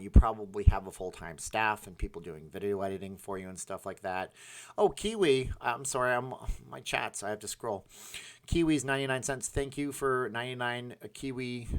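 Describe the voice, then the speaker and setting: A man hosting a casual livestream, reading out chat messages and rambling with lots of fillers and restarts. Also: lowish voice. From part Ukrainian, Polish, Irish, Scottish heritage.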